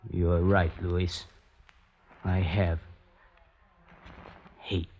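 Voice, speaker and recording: An actor playing a desperate, brooding poet in a 1950s radio drama, speaking with literary intensity. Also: male voice, low voice, level tone, very slow, lo-fi sound, slight background noise. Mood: guarded, bitter. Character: desperate man nicknamed Poet, anguished, pleading for a kidnapped woman. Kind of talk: 1950s radio drama acting, brooding first-person narration. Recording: vintage radio drama with music behind.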